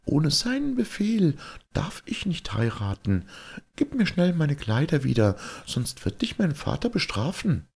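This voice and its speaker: A man's voice: fairly high pitch, huge pitch swings, unhurried, creaky, a little mellow, slightly rough, pitched above the performer's own voice, full character voice. A man voicing a proud, bashful young maiden of heaven in a fairy tale, haughty and pleading.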